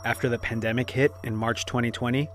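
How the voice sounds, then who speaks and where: A man reads a news report in a measured, even way. He speaks at a middle pitch. Soft music plays underneath. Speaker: news narrator. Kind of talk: scripted news narration.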